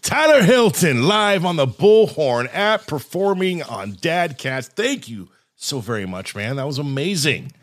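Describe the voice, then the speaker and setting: A man's voice: on the high side, big pitch swings, unhurried, hardly any pauses, faint music behind. A man hosting a live podcast in a casual, jokey and enthusiastic way. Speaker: podcast host.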